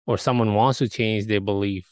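A man interviewing a guest, asking halting questions full of fillers and restarts. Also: fairly low pitch, steady tone, dry recording, studio quality.

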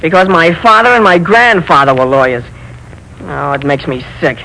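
An actor playing a bitter, defensive lawyer husband in a 1940s radio play. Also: male voice, fairly high pitch, steady tone, normal speed, sharp tone, poor audio. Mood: grumbling. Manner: grumbling, defensive.

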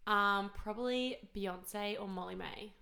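A young woman, soft and smooth, relaxed in casual podcast chat. Her voice sits at an ordinary middle pitch, close on the mic. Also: unhurried, crowd noise. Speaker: podcast co-host. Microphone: handheld mic held close to mouth.

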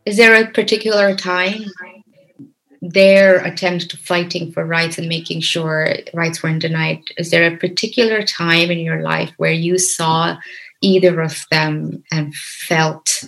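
Gravelly, slow-speaking woman interviewer. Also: fairly low pitch, fairly even intonation, a bit bright, poor audio. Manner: admiring, thoughtful questioning. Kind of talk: casual interview conversation.

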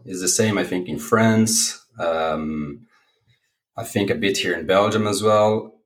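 A man's voice: deep voice, a little slow. A man from Brazil, a native Portuguese speaker, talking playfully and with self-deprecating humour as a podcast guest. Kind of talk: casual chat. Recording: echoey room.

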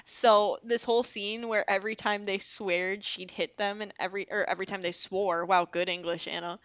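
A woman with a Wisconsin accent co-hosting a casual podcast chat, excitable and gushing, laughing easily. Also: mid-range voice, normal speed, dry recording. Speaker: podcast co-host.

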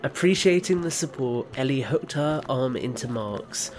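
A man's voice: medium pitch, expressive, moderate pace, a little hiss or hum. A man reading scripted third-person narration as the narrator of an audio drama.

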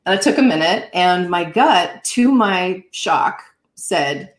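Low-voiced woman guest in an echoey room. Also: slow. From northeastern United States. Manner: animated, laughs often, warm storytelling. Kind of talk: conversational interview.

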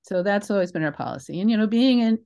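A woman's voice: medium pitch, lively intonation, fast talker, vocal fry, warm tone. An older American woman giving conversational interview answers in a reflective, emphatic and digressive way. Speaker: interview guest, theatre creator.

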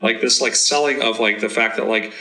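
A man with a low, bright, forceful voice, guesting on a podcast. He talks casually and tells his story in long, rambling runs full of fillers. He was born in Afghanistan and grew up in New York. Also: fairly even intonation, brisk. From born in Afghanistan, raised in New York. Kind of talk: casual conversation.

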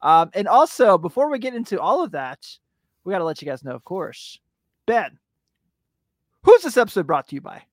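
Hyped, hoarse male podcast host. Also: high voice, big pitch swings, dry recording. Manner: hyped, exclamatory, drawn-out hype words. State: raspy voice. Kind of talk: casual sports podcast chat.

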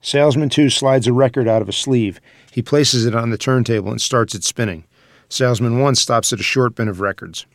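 A man's voice: medium pitch, fairly quick, no echo. Male narrator, dry close sound. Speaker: narrator reading stage directions. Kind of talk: scripted screenplay narration.

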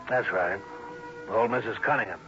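An actor playing a brusque, impatient police sergeant, gruff and clipped, in an old radio crime drama. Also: male voice, on the low side, moderate pace, over music, lightly acted. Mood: gruff, insistent. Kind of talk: 1950s-60s radio crime drama acting. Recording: dull, muffled old radio recording.

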